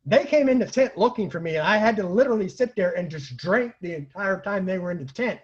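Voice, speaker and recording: A man with a husky voice tells comic personal anecdotes in a casual, animated way, acting out the lines people said. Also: high-pitched, fast talker. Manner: animated, acts out quoted lines, laughs at own stories. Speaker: storyteller, online show host. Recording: dull lo-fi home recording.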